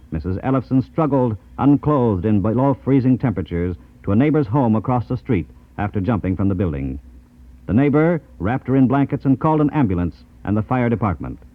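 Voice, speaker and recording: An American man reading scripted news and sponsor messages in a formal, measured 1950s radio announcer style. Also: medium pitch. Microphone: dry radio studio microphone. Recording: tinny, band-limited 1950s radio tape.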